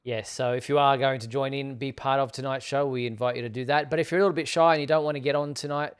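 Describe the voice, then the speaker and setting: Clear-voiced man hosting a live show. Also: mid-range voice, fairly even intonation, quick pace. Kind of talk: hosting a live online fan talk show.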